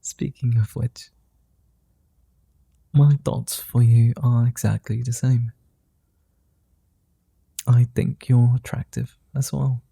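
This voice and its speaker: A flirtatious, kind-hearted vampire, voiced by a man, murmurs in an audio roleplay. His tone is very dark and gravelly with a husky edge, and he takes his time over every word. The sound is dry and close. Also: medium pitch, flat delivery, dry recording, heavily acted. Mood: tender. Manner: soft, slow, flirty and teasing. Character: gentle flirtatious male immortal vampire. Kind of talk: ASMR roleplay.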